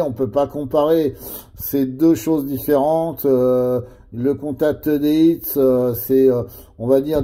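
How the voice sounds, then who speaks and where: A man of average pitch with a deep, dark, mellow tone. He chats over CB radio and explains things at length, hesitating often. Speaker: CB radio operator. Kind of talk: casual radio chat.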